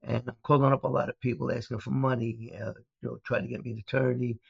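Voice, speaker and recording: Older New guy, gravelly, meandering storyteller, lo-fi dry sound. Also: mid-range voice, little pitch movement, fast talker, no room sound. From Brooklyn, New York. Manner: rambling, many uh fillers and restarts. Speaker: guest, former mobster turned speaker. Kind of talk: storytelling interview. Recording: dull, dry lo-fi audio.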